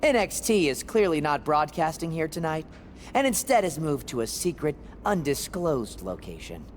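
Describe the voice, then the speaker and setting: Troy Simkin, a smug TV producer. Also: male voice, a little high, very animated, normal speed, slight background noise. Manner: taunting, then pleading. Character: smug, slippery man TV producer.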